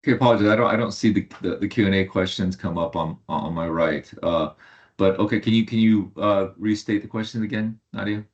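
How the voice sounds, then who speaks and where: Very low-pitched man, halting executive delivery. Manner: halting, hesitant delivery with repeated words. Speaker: company executive. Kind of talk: earnings call presentation and answers. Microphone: online conference call. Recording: dull lo-fi online call audio.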